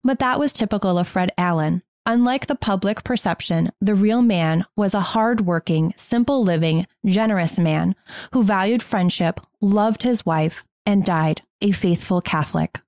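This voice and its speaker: Female podcast co-host, American, medium pitch, scripted historical storytelling in a dry, close recording. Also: normal speed, darkish tone, dry recording.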